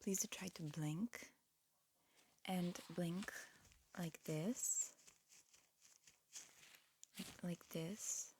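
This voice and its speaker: A woman performs a whispered ASMR medical exam roleplay in a soft, fairly low voice. She speaks very slowly and carefully, like a calm examiner explaining each step. The microphone is close, with a faint hiss behind her. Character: calm, attentive alien examiner disguised as a human.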